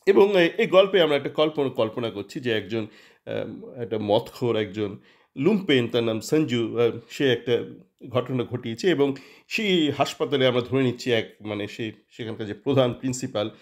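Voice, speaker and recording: A man's voice: medium pitch, expressive. A man telling an improvised story and commenting on it informally, often repeating and correcting his phrases. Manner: halting, repetitive phrasing with frequent self-corrections.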